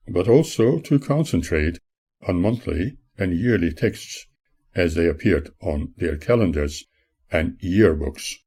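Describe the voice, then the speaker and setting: An older man, an author, reads his own memoir as scripted audiobook narration in a formal, measured manner. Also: deep voice, dark tone, breathy edge.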